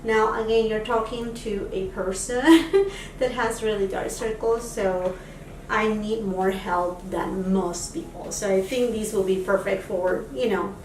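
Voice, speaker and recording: A woman's voice: mid-range voice, unhurried, a little mellow, a little hiss or hum. A woman from Peru, a beauty vlogger, chatting casually through a makeup try-on with lots of fillers, recorded on a camera mic at a distance in a home room. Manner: chatty, lots of fillers, enthusiastic about products. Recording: echoey room, voice a bit off-mic.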